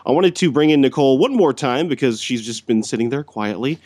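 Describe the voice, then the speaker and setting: A man's voice: medium pitch, animated, fairly quick, darkish tone, dry recording. Man, podcast host, friendly and easygoing, dry close sound. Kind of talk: casual interview hosting.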